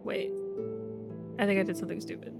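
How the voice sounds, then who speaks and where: A woman's voice: mid-range voice, flat delivery, fairly quick, vocal fry. A woman livestreamer chatting casually to her audience in a playful, self-deprecating way. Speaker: livestreamer, VTuber. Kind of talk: casual gaming stream chat. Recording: music playing behind the voice. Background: background music.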